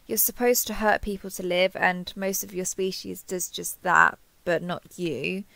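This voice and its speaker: A woman's voice: lowish voice, fairly even intonation, measured pace, vocal fry, a bit bright, no room sound. A woman playing a kindhearted, awkward young woman who gets easily flustered, speaking hesitantly and earnestly in an intimate roleplay audio drama.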